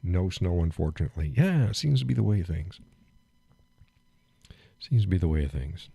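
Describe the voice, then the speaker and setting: A man hosting a live stream, chatting casually and rambling with fillers and chuckles, phlegmy and clearing his throat. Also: deep voice, very animated, normal speed, dark tone, no echo. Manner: rambling, chuckling, thinks aloud with many fillers. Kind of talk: casual chat reading viewer comments.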